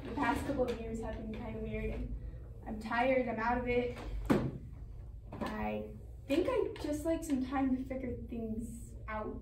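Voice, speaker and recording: A woman acting a weary, wounded younger sister in a drama, stubborn and bitter, with halting, blunt and sarcastic speech. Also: a little high, sing-song. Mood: weary, hesitant. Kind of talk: dramatic stage-style family dialogue acting. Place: echoey room, recorded from a distance. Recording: roomy, distant mic with faint noise.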